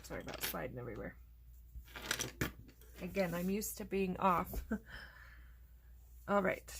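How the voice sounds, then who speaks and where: A woman host casually narrating a coloring tutorial, chatty and relaxed, thinking aloud as she works, and losing her voice. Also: lowish voice, slow pace, gravelly, noisy background.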